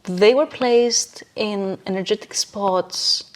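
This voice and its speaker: A woman's voice: medium pitch, slow pace. A woman speaking as a podcast guest, explaining her views emphatically in a casual conversational way.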